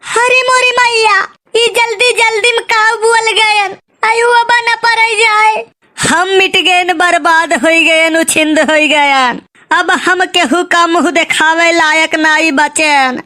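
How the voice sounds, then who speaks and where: A fretful village wife from a comic cartoon, with an Awadhi accent. Her voice is very high and piercingly bright, and she draws out her plaintive complaints slowly. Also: steady tone, exaggerated voice. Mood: distraught. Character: shrill, fretful village wife in domestic squabble. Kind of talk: exaggerated comic cartoon acting.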